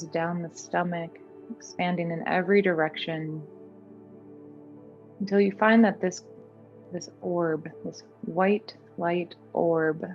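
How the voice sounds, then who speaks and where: A woman guiding a meditation in a gentle, soothing, unhurried manner. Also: fairly low pitch, creaky, lo-fi sound. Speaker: meditation guide. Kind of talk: guided meditation. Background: background music.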